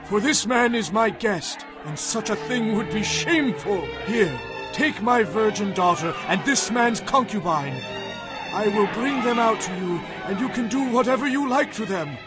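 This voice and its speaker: A man's voice: sits high, lively intonation, normal speed, over music, poor audio. An actor playing a hospitable old man in a dramatized audio Bible, urging and pleading in a thin voice. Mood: anxious pleading.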